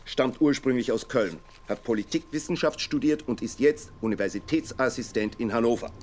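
A man's voice: mid-range voice, moderate pace, a little mellow, close to an everyday voice. A man playing a dry intelligence agent. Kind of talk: radio drama acting.

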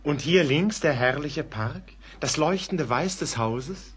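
An actor playing an educated, polite gentleman traveler in a German radio play, courteous and inquisitive. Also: fairly high pitch, expressive, slow pace. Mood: curious, amused.

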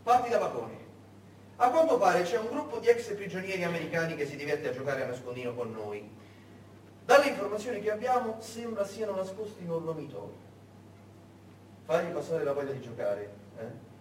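An actor playing a brisk, smugly commanding German major who gives his officers clipped orders and prods them with check questions, as in a stage monologue. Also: high-pitched, sing-song. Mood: coolly menacing. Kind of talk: theatrical monologue acting. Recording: echoey room, distant mic, faint noise.

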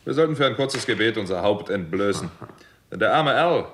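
Sarcastic cop in noisy radio drama. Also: male voice, fairly low pitch, animated, brisk, a bit bright. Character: sarcastic male police station character, mock-pious. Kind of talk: 1960s German radio crime drama acting. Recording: noisy old radio recording with clicks.

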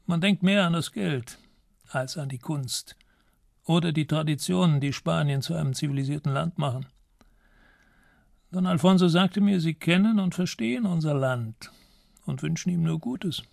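A dapper old Franco loyalist. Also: male voice, on the high side, moderate pace, vocal fry, no echo, studio quality. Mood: measured. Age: older. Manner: formal, courteous, quietly ideological. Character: older small Spanish man, dapper Franco loyalist. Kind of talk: radio play acting.

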